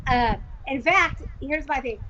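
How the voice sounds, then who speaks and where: A woman's voice: high voice, unhurried, pushed and bright, highs cut off, slight background noise. A woman in her forties co-hosting a casual online show, talking candidly and animatedly, with lots of filler words and the odd swear. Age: 40s. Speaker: co-host. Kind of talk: casual chat.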